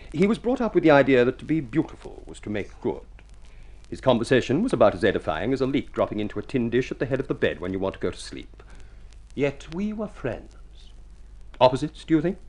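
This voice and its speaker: Male actor as ugly silver-tongued adventurer, wry and florid, highly animated delivery, vintage radio drama. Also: brisk, natural voice. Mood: wry, boastful. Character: ugly, eloquent, self-mocking male adventurer and storyteller. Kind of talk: mid-century radio drama acting.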